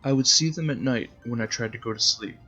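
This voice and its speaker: A man's voice: mid-range voice, fairly quick, pushed and bright, highs cut off, faint music behind. A man narrating his own short story in a dramatic storybook style. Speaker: author reading his own zine.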